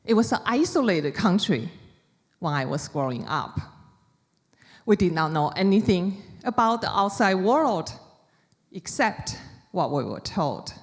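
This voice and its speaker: A woman from China with a Chinese accent gives a prepared public talk as an author, speaking deliberately in short, measured phrases. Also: mid-range voice, sing-song. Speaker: author giving a book talk. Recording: reverberant hall with long echo.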